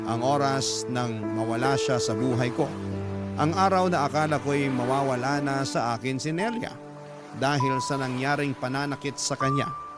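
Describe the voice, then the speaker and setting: A mid-pitched young man played by an actor tells of his hard life in a Filipino accent. He narrates in a sorrowful, confiding way for a radio drama, with heavy music underneath. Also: lightly acted. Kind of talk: first-person scripted radio drama narration.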